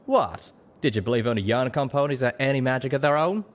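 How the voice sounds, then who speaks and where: A man's voice: mid-range voice, animated, quick pace, pitched above the performer's own voice, close to an everyday voice. A man voicing a confident, teasing male pegasus pony with a folksy drawl, in an audio story. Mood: amused, proud.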